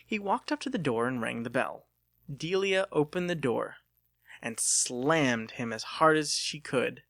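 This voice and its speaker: Male audiobook narrator. Dramatic scripted read, mid pitch, highly varied intonation. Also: animated, a little slow, vocal fry, fairly bright tone, dry recording.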